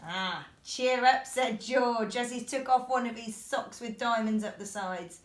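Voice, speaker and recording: A woman's voice: on the high side, unhurried. A woman reading a children's bedtime story expressively, with sing-song verses and asides to young listeners.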